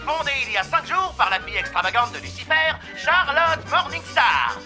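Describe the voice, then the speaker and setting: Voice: high-pitched, sing-song, moderate pace, sharp tone, faint music behind, full character voice. An actor playing a theatrical, mocking cartoon radio demon, announcing like an old-time advertisement through a radio filter. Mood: showy, ironic. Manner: theatrical, grandiloquent showman.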